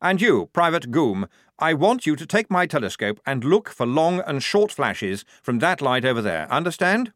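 A man's voice: fairly high pitch, sing-song, normal speed, fairly bright tone, no echo, clean studio sound. A man reading a story, voicing a pompous, fussy young male lieutenant in a lofty, overly precise manner.

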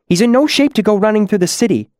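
A man voicing a nervous, sharp-tongued woman in a demon mask, hissing urgently in an audiobook story. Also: on the high side, expressive, moderate pace, no room sound, studio quality, pitched above the performer's own voice, close to an everyday voice. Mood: scornful, urgent.

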